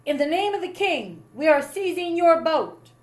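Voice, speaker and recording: A woman voicing a bossy, sneering British naval man in a storybook, loud, commanding and taunting. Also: very high voice, sing-song, normal speed, voice pushed up. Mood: commanding, shouting.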